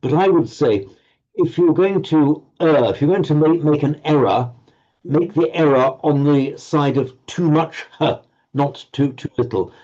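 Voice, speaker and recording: A man teaching Sanskrit pronunciation in an online group lesson, halting and restarting words, encouraging his students. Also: a little high, warm tone, dull audio.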